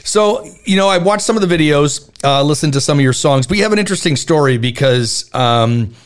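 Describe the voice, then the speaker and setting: A man hosting a radio show, interviewing a caller in a relaxed, chatty way with long, rambling questions. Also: a little high, a little fast. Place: Toronto.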